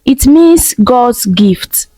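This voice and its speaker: A woman's voice: a little high, lively intonation, very slow, no room sound, heavily acted. A woman voicing a kind, hopeful young husband in a storybook tale. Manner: warm, hopeful.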